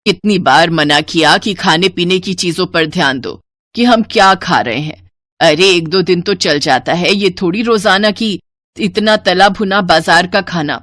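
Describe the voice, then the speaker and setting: An older Indian mother-in-law played by a woman with a lowish voice and a dry, close sound. She is long-suffering and caring, and she admonishes as she explains, in the manner of a storybook moral tale. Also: a bit bright, no room sound. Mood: reproachful. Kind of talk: dramatised Hindi moral story.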